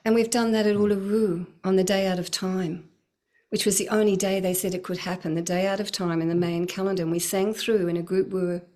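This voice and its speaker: Middle-aged woman, spiritual teacher; earnest, flowing delivery, mid-pitched, laughs easily. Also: fairly even intonation, fairly quick, mellow. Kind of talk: casual spiritual talk.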